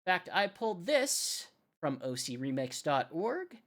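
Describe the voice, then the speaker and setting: A woman with a low voice talks in the casual manner of a livestream radio host. Her pitch moves up and down in a lively way as she chats between songs and introduces tracks. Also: a little slow.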